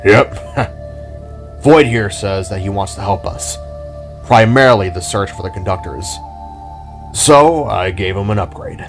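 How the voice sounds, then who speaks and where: A laid-back tinkerer, as a man reads him, in a low, easy voice. He jokes breezily with his friends, in a homemade fan audio drama with faint music behind. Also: a little slow, pauses often. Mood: breezy. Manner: breezy, joking. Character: laid-back joking male inventor.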